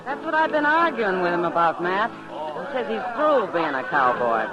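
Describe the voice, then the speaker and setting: A woman's voice: on the low side, big pitch swings, moderate pace. A woman acting a worldly, concerned American saloon woman in an old-time radio western, frank and insistent. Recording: dull vintage radio recording with music.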